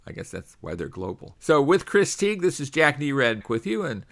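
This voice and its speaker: A man's voice: mid-range voice, sing-song, moderate pace, creaky, fairly bright tone. A middle-aged man hosting a radio talk show, chatting in a conversational way with frequent fillers.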